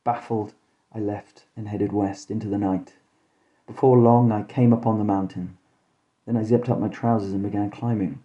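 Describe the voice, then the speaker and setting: A man hosting and narrating a scripted comic horror story in a deadpan, mock-dramatic way. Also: lowish voice, moderate pace, highs cut off. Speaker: podcast host and storyteller.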